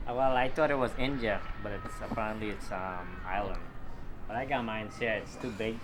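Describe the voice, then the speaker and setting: A man's voice: fairly low pitch, fairly quick, noisy background. A man with a Trinidadian accent giving casual interview answers as a jockey.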